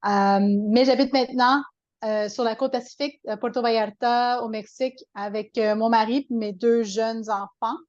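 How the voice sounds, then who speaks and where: A woman's voice: a little high, steady tone, moderate pace, a little mellow, lo-fi sound. A woman with a Québécois French accent, a coach talking as an interview guest in a chatty way, full of fillers and restarts. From Quebec. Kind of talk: casual interview talk.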